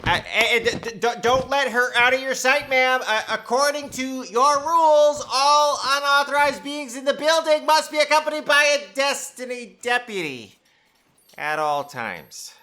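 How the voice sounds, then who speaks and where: An actor playing a fussy, excitable official in a comic audio drama. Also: male voice, very high voice, unhurried, exaggerated voice.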